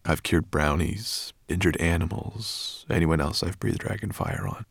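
A man voicing a gentle, thoughtful dragon in an audiobook, speaking in a calm, measured manner. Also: low voice, creaky, dry recording, clean studio sound, exaggerated voice. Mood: calm, reflective. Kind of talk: audiobook character voice.

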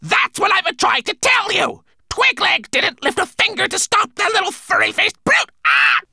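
A man voicing an agitated raven servant, squawking and complaining in a shrill voice. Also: very animated, normal speed, gravelly, pushed and bright, no echo, clean studio sound, full character voice. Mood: surprised, complaining. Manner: agitated, repetitive exclamations. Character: frantic male raven servant, squawking and complaining to his master.

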